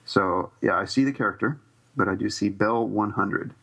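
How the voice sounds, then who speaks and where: A man co-hosting a podcast in casual chat, thinking aloud and hedging with many pauses. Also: fairly low pitch, vocal fry, a bit bright, breathy edge. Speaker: podcast co-host.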